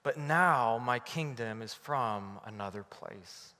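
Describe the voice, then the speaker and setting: A man's voice: mid-range voice, takes it slowly. Canadian man preaching in a reverberant hall. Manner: storytelling, animated, laughing at own story. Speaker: pastor. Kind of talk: sermon.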